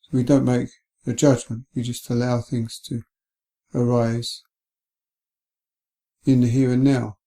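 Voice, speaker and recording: Male guided-meditation voice, soft-edged and relaxed, calm and unhurried, flat melody. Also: medium pitch. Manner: gentle, calm, unhurried with long pauses. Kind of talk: guided body scan meditation.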